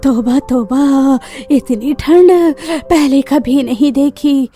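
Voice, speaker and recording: A woman voicing an ailing, weary old grandmother in a cartoon story, lamenting in drawn-out phrases. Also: high-pitched, dark tone, faint music behind. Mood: exhausted.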